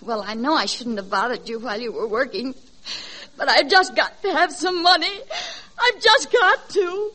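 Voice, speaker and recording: High-voiced, exasperated radio-comedy mother. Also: moderate pace, sharp tone. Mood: pleading. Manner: theatrical, emphatic. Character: exasperated, scolding mother and pleading wife. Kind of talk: 1940s radio comedy sketch acting. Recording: noisy old 1940s radio recording, live audience. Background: studio audience crowd.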